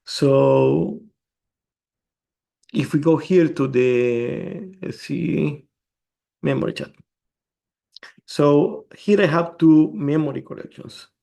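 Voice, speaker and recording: Guy from el salvador walking thru a code demo, kinda gravelly low-key voice. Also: mellow. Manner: explains step by step, restarts words. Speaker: developer presenting a demo. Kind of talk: screen-share tech demo.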